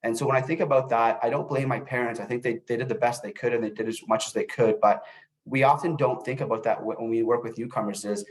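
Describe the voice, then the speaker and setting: A middle-aged man, born in El Salvador and raised in North America, giving an informal webinar presentation in a halting way, often repeating words as he goes. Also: medium pitch, steady tone. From born in El Salvador, grew up in North America. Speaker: webinar presenter, youth services manager.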